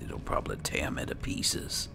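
A man doing in-character roleplay commentary on a game, muttering to himself in short phrases. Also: normal speed. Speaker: gaming video host playing in character.

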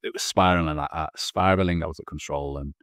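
Very deep, flat-voiced man. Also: fairly quick, no room sound, studio quality. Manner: earnest, frequent restarts and repeated words. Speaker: podcast guest. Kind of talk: candid personal storytelling. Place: small indoor room. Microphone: video call, wearing headphones.

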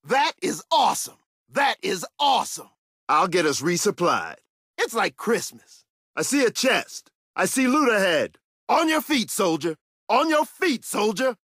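An actor playing a tough, confident soldier in a video game, delivering punchy, swaggering combat lines. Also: male voice, sits high, very animated, a little slow, fairly bright tone, breathy edge, no room sound, clean studio sound, exaggerated voice. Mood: exultant, then urgent, rallying. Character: tough, confident male soldier, upbeat battlefield fighter.

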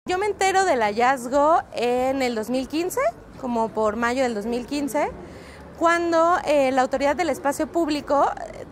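A Mexican woman in her thirties, a reporter, talks in a high, clear voice. She explains in long, fluent stretches with the odd "eh" filler. Faint city street noise sits behind her. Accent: Mexican Spanish. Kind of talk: street interview. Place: outdoors on a busy city street. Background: faint street ambience.

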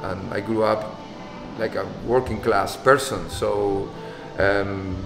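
A man's voice: lowish voice, steady tone, takes it slowly, creaky. A man, speaking thoughtfully in an interview, often repeating words. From Spain. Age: 50s. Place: dance studio, indoors. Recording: echoey hall with music behind.

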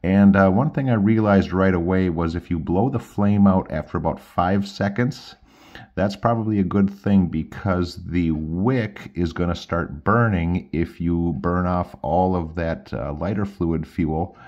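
A man's voice: deep voice, unhurried, a little mellow. A middle-aged man casually demonstrating and reviewing outdoor gear, recorded indoors at a tabletop with a slightly dull sound.

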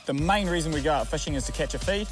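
A man's voice: a little high, rapid-fire. A man with a New Zealand accent co-hosting a fishing show, chatting casually and cheering outdoors by the sea. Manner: animated, whoops and cheers at catches. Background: music.

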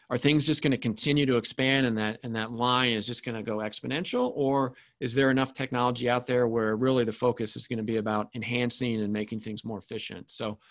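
A man's voice: medium pitch, a bit bright. A middle-aged man hosting an interview, asking questions in an upbeat, chatty way. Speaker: host.

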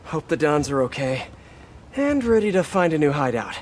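Young wisecracking video game superhero, earnest, talks to himself, music and effects behind him. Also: male voice, fairly high pitch, big pitch swings, normal speed. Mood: focused. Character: young quippy masked superhero, earnest and self-critical.

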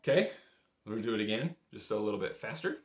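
A man's voice: lowish voice, animated, fast, heavy background noise. A man in his thirties giving an informal, step-by-step music lesson in an easygoing, encouraging way, recorded in a small room. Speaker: bagpipe instructor. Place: small indoor home workroom. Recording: roomy, echoing small-room sound.

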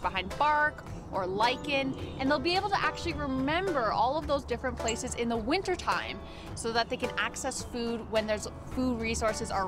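Female nature guide, twenties, fairly high voice, informal teaching with music behind. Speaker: community program coordinator, nature guide. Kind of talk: informal educational explanation to camera. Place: outdoors in snowy woods.

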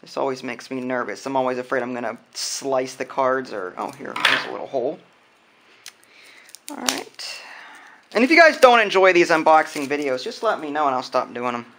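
A man's voice: medium pitch, animated, normal speed, noisy background. A man hosting an unboxing video, chatting casually and thinking aloud as he handles things. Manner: informal, thinking aloud while handling items. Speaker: host of a board game unboxing channel. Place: indoors at a wooden table.

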